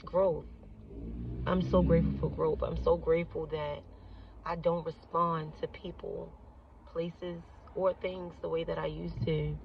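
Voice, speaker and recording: Woman, Baltimore accent, slow earnest vlog talk in a car, dull phone audio, loud road noise. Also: low voice, little pitch movement, creaky, recorded from a distance. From Baltimore, United States. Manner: earnest, repeats phrases for emphasis. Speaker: vlogger. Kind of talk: reflective casual monologue. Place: in a moving car on city streets.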